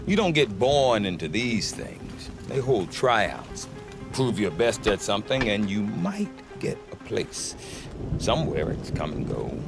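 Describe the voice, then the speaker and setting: A middle-aged man playing a wry, grumbling, talkative frontier trader in a video game. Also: fairly low pitch. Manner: wry, talkative, hesitant when choosing words. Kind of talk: video game dialogue acting.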